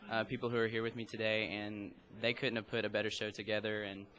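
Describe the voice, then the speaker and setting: Earnest young man, bright voice. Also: on the low side, flat delivery, quick pace. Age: young adult. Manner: earnest, proud. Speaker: student director. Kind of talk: casual interview.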